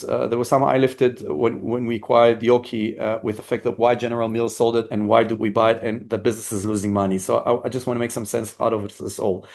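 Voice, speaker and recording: A man presents company results in the register of an executive on an earnings call. His voice sits at a middling pitch, and he moves through semi-scripted points haltingly, often repeating or rewording a phrase.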